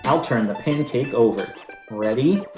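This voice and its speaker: A patient country mother explaining how to cook, calm and instructive, read by a man. The delivery is slow and even. Soft music plays behind, and the room sounds roomy. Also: medium pitch, close to an everyday voice.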